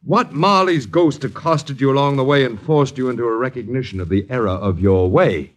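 A man's voice: mid-range voice, a little fast, close to an everyday voice. An American man acting a sardonic, wordy boarder in a 1950s radio drama, with a theatrical, mocking manner. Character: sardonic, wordy male boarder mocking his miserly landlords. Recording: 1950s radio broadcast recording.

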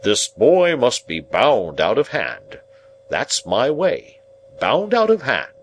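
Man voicing a pompous, patronising uncle, swooping pitch. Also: wildly swooping pitch, slow, a little hiss or hum. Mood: self-important. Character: pompous, patronising older uncle, self-important male seedsman. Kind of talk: audiobook character voice.

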